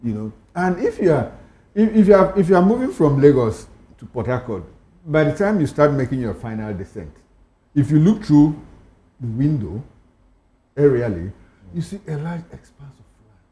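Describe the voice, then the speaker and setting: Roomy-sounding Nigerian man, animated pitch. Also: normal speed, long pauses. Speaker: panellist on a talk show. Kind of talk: conversational commentary on current affairs.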